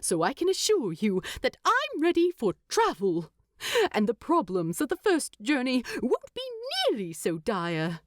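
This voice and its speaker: A woman voicing an excitable male hobbit in a storybook narration, talking eagerly and persuasively with hesitant rambles. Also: high voice, no room sound, studio quality, full character voice. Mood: insistent, hopeful.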